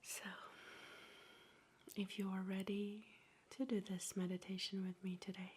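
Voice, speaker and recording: A woman speaking in a soft, breathy whisper, slowly and gently guiding a meditation in an ASMR style, with measured pauses. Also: mid-range voice, flat delivery, a little hiss or hum.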